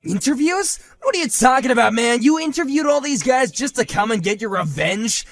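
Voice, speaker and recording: A man voicing a hotheaded bully husband who shouts, whines and stammers when cornered, in a one-man voiced drama. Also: a little high, expressive, fast talker, dry recording, faint music behind, lightly acted. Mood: outraged panic. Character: hotheaded male bully husband, panicky and blustering.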